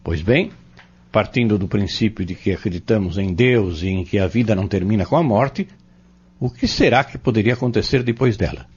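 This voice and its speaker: A man playing a patient, earnest Spiritist teacher in an audio drama, explaining step by step in a measured, didactic way. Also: lowish voice, darkish tone, breathy edge. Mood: earnest, probing. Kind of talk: scripted audio drama dialogue. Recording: dull lo-fi audio.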